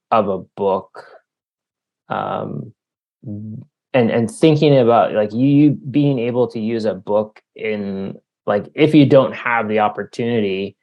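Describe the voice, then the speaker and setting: A man with a low, gravelly voice answers interview questions casually. He speaks slowly and haltingly, pausing to think and searching for words as he goes. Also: darkish tone. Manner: halting, thoughtful, searching for words with long hesitations. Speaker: author being interviewed. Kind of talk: casual reflective interview talk.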